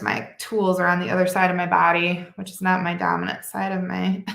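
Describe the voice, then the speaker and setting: Congested, nasal woman, middle-aged, unhurried live craft-demo delivery, some vocal fry, roomy echo. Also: fairly low pitch, a bit bright. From Michigan. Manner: chatty, with small laughs and self-corrections. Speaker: beading instructor.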